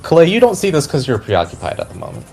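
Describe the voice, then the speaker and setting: A man narrating a fantasy tabletop game as game master, describing scenes deliberately and with suspense. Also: a little high, wildly swooping pitch, vocal fry, darkish tone, slight background noise. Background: background music.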